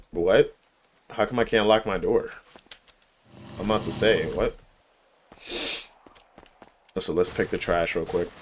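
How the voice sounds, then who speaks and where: Low-voiced, slangy male game streamer. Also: slight background noise. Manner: slangy, profane, informal; enthusiastic, emphatic, repeats himself. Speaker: livestreamer. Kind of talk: casual chat while gaming.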